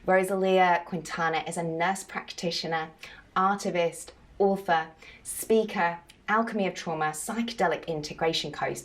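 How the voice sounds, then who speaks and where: A woman hosts a podcast in a low, gravelly voice with a touch of fry. She sounds soft and effusive, gently enthusiastic about her guest. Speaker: podcast host. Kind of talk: podcast intro and interviewing.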